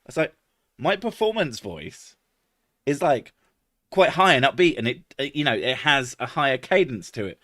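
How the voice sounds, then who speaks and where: A man with a naturally deep voice talks casually, swearing freely and poking fun at himself. His pitch sits in an ordinary middle range. The sound is dry and close, with no room around it. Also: sing-song, normal speed, fairly bright tone, no echo. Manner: swears freely, laughs often, self-mocking. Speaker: podcast host. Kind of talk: casual chat.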